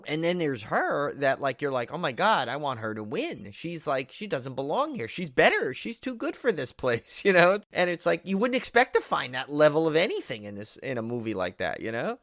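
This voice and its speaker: A man's voice: a little high, sing-song, moderate pace, few pauses, a bit bright, dry recording, studio quality. Rambling, enthusiastic man chatting about film. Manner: rambling, repeats himself, piles up "like" and "you know". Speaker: podcast co-host. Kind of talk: casual film review chat.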